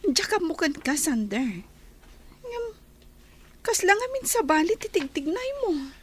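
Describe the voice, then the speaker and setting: A woman's voice: extremely high pitch, big pitch swings, a little slow, slight background noise, full character voice. A Filipino woman in a radio drama playing a worried, suspicious wife who speaks in an earnest, emotive way. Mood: pleading. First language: Ilocano. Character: worried, pleading, suspicious wife of a rich man. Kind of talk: Ilocano radio drama acting.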